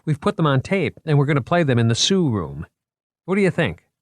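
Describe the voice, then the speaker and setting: A man's voice: a little high, very animated, brisk, dry recording, clean studio sound, voice pushed up. A man reading an audiobook, voicing an eager and nervous young male museum curator who stammers when flustered. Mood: enthusiastic. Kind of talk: audiobook character voice.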